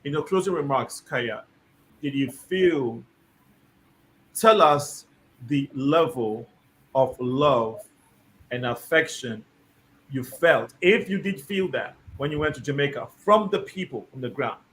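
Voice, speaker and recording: A man hosting a live discussion show, reading out viewer comments and guiding his guests in a measured, deliberate way. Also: mid-range voice, a bit bright, a little hiss or hum, low-quality recording. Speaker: host.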